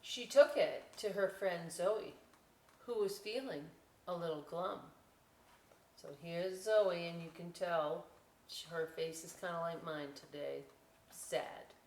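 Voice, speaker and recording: A woman's voice: lowish voice, takes it slowly. Woman reading a storybook, roomy distant recording. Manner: conversational, explains pictures as to young children. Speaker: librarian story time host. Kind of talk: picture-book read-aloud with casual asides. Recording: roomy, distant mic with clear noise.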